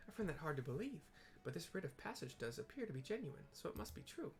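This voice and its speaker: Man putting on a haughty, fussy, creaky researcher. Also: fairly high pitch, very animated, fast talker. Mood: disdainful. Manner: formal, disdainful phrasing. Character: haughty, reluctant scholarly researcher, fussy and self-important.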